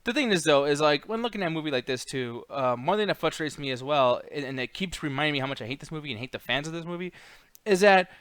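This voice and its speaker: A man's voice: fairly high pitch, quick pace, clean tone, no echo. A man co-hosting a podcast in casual, profane banter, ranting bluntly and slipping into exasperated mock voices. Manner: blunt, swearing, exasperated, animated mimicry. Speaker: podcast co-host.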